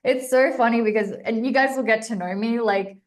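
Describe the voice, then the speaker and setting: A woman's voice: fairly high pitch, fairly quick. A young woman from Australia with a mixed Australian and American accent, chatting upbeat with viewers on her live stream, full of fillers and restarts.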